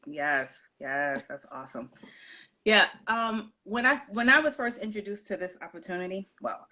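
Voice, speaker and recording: A woman's voice: on the low side, pushed and bright. A woman from New York hosting a casual live-stream chat, affirming her guest and greeting viewers, with many fillers. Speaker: host.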